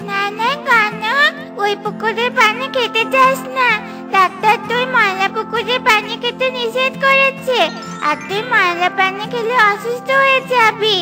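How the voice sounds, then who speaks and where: A woman's voice: very high voice, measured pace, sharp tone, full character voice. A woman voicing Tuki, a cautious young bird friend, in a cartoon over music. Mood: warning. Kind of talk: cartoon voice acting. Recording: music underneath.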